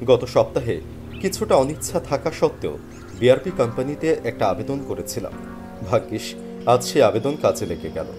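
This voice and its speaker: A man playing a weary, penniless job seeker who tells his story in expressive, plaintive dramatic narration. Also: medium pitch, normal speed, close to an everyday voice. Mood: weary, reflective. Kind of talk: first-person dramatic story narration and character dialogue. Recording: music behind the voice. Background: background music.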